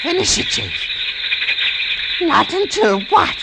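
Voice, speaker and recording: A man's voice: very high-pitched, wildly swooping pitch, slow, creaky, exaggerated voice. An actor playing a frantic, unnerved lighthouse keeper in a 1950s radio drama, babbling and repeating himself as he cracks under terror. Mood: unhinged. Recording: noisy vintage radio broadcast recording.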